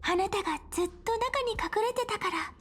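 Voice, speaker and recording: A woman's voice: extremely high pitch, rapid-fire, fairly bright tone. An actress playing a questioning girl in an anime-style game. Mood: searching, surprised. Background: faint game music.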